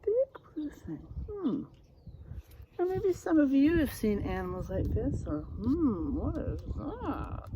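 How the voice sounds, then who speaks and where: A woman reads a children's story aloud at an unhurried pace. Her voice is soft-edged and mellow, with very lively rises and falls. She draws out dramatic words and breaks off to quiz her young listeners in a teacherly way. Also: high-pitched, slight background noise. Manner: teacherly, prompting listeners with questions. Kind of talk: expressive picture-book read-aloud with questions to children.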